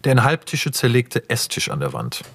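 A man narrating a radio play, reading out scripted stage directions in a measured, matter-of-fact way. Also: mid-range voice, huge pitch swings, vocal fry, husky, exaggerated voice.

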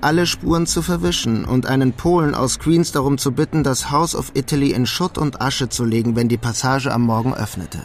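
A man's voice: medium pitch, close to an everyday voice. An actor playing a sly, resigned young immigrant clerk in a radio drama, wry and understated as he narrates and talks. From Germany. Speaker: narrator and lead character. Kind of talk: radio drama first-person narration and dialogue.

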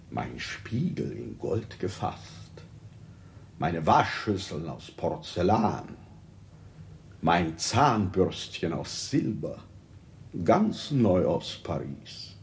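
A contemptuous, melancholic monarch in a 1960s radio play. He savours his words theatrically and slowly, the pitch rising and plunging with royal disdain. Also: sing-song, creaky, slight background noise, exaggerated voice. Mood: wistful, stately. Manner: pompous, theatrical, savouring each phrase.

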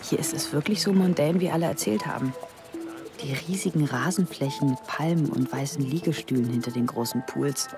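Young secretary heroine, radio drama. Very low female voice with fry, naive and inquisitive, music behind. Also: fairly quick. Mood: reflective, intimate. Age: young adult. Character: seventeen-year-old secretary, curious, naive, impressionable. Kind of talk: radio play acting with intimate narration.

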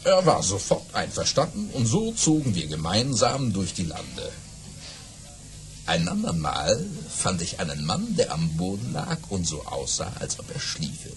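A boastful storytelling baron in a children's radio play. His pitch leaps and plunges dramatically. He stretches pauses and throws in hesitant fillers to relish his tall tales. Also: huge pitch swings, a little hiss or hum, full character voice. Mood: mysterious, boastful. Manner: theatrical, savouring pauses, hesitant fillers for effect.

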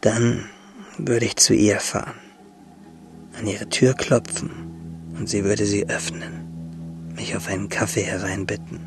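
An actor playing a wistful, tender young shipwrecked seaman in a radio drama monologue, intimate and halting, with a husky voice. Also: lowish voice, steady tone, music in the background. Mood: mournful, tender. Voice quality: husky, gravelly, breathy.

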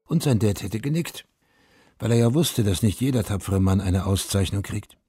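An actor as the narrator of a melancholy radio drama, reading literary prose in a measured, wistful, gravelly way. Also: male voice, on the low side, expressive, mellow, no room sound, studio quality. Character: male third-person narrator of a melancholy war story. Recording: music behind the narration. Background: music.

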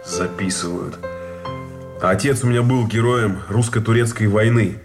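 An actor playing a courteous, earnest, resigned captive captain in a radio play, speaking formally. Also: male voice, lowish voice, moderate pace, close to an everyday voice. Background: instrumental music.

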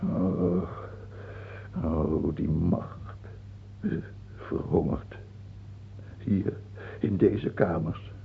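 An actor playing a sick, paranoid, self-pitying old king in a radio drama, with a husky, gravelly voice and a theatrical, halting delivery. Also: rumbling low, steady tone, slight background noise, full character voice. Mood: guilty, brooding. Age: older. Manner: theatrical, halting, swinging between whining and outbursts. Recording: dull, muffled old radio recording.

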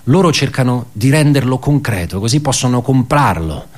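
An actor playing an embittered, pedantic male office worker in a radio theatre monologue, halting and repeating his words as he puts rhetorical questions. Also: medium pitch, lively intonation, close to an everyday voice. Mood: brooding, bitter.

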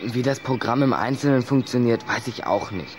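Hesitant, teasing schoolboy, played by a man, medium pitch, audio drama with slight noise. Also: normal speed, close to an everyday voice. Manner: questioning, hesitant. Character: sceptical, teasing, hesitant schoolboy, a vampire's human friend. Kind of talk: German children's radio play acting.

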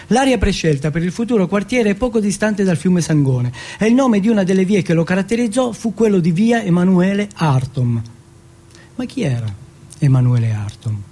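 A man from Turin performing a narrative theatrical monologue, reciting deliberately phrase by phrase. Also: sits high, dark tone. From Turin, Italy.

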